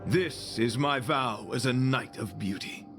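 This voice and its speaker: A man's voice: mid-range voice, unhurried, over music, natural voice. An actor playing a chivalrous young male knight devoted to beauty, speaking in a formal, flowery and solemn way in a video game. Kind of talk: video game character dialogue.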